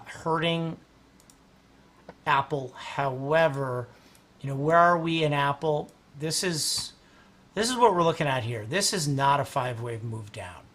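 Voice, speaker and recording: Male host, fairly high, gravelly, losing his voice, loose rambling chart talk. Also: fairly bright tone. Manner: rambling, restarts, clears throat often. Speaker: host and market analyst. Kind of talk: casual livestream chart analysis.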